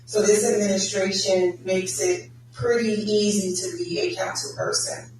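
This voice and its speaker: Flat-voiced councilwoman in an echoey hall. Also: medium pitch, unhurried, a little hiss or hum. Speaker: city council member. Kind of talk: candidate forum answers. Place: public meeting hall. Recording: echoey hall, distant mic.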